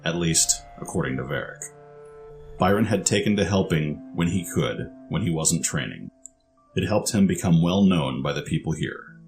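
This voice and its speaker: A man with a very low voice reads scripted story narration. He serves as the narrator of an audio drama, with soft music underneath. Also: expressive, normal speed.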